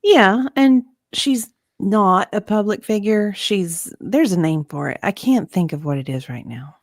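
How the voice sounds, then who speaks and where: A woman playing a hesitant, forgetful witness in a dramatic reading of a legal deposition, halting and often trailing off. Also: mid-range voice, lively intonation, no echo, studio quality. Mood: hesitant, groping.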